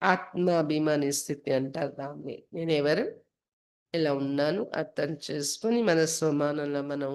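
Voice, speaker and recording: A woman's voice: low voice, creaky, a little mellow. A woman from India, a spiritual teacher reading devotional text and explaining it line by line, repeating phrases for emphasis.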